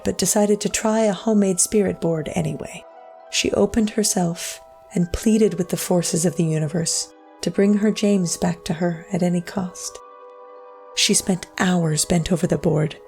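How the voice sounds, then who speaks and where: A solemn female storyteller with a lowish, crackly voice, over faint music. She narrates a dramatic audio story in a measured, literary and somber manner. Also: gravelly, a little mellow. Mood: mournful. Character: solemn female storyteller of a sad ghost tale.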